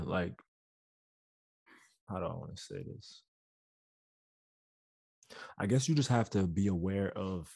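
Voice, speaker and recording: A man with a very deep voice chatting casually, thoughtful and searching for words. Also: darkish tone, slightly rough, no echo. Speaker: musician in a discussion. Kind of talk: casual chat.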